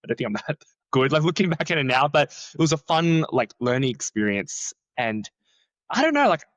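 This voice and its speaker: A young man who is a researcher, talking in a chatty, animated way and filling his sentences with "like.". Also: a little fast, dry recording. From Australia. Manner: chatty, many "like" fillers, lively swings in pitch. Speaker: researcher, podcast guest. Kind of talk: casual conversational interview.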